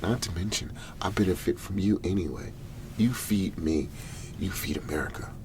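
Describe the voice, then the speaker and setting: Male performer as government negotiator, ASMR roleplay. Extremely low, gravelly murmur, hesitant and placating. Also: noisy background. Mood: earnest, reassuring. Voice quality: soft, breathy half-whisper with gravelly low murmur. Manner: halting, deliberate, reassuring, with long pauses.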